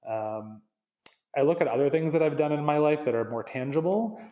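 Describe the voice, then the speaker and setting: A man's voice: on the high side, expressive, moderate pace, phone-quality sound. A man with a slight Canadian accent touched with New England, a marketing executive chatting earnestly as a podcast guest, often restarting phrases. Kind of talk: casual interview chat.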